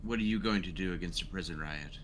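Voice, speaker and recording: A man's voice: sits low, quick pace, a crowd in the background. A man playing a tabletop roleplaying game, talking casually and slipping in and out of character. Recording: background noise, room ambience.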